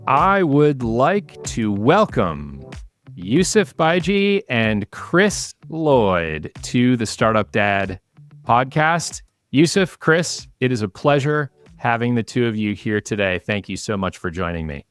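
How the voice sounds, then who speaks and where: A man's voice: medium pitch, sing-song, takes it slowly, a bit bright, faint music behind. A man hosting a podcast and interviewing guests in a conversational, presenterly way.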